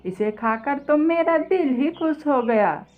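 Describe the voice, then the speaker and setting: A woman's voice: sits high, animated, slow, phone-quality sound. A woman voicing a chummy, persuasive male crow in a cartoon story, gushing and eager.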